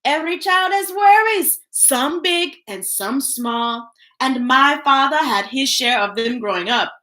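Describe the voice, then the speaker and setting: A woman's voice: high-pitched, lively intonation, a little slow, sharp tone. An American woman reading children's books on a live stream, singing the text with playful scat sounds and chatting between stories. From United States. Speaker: children's book reader.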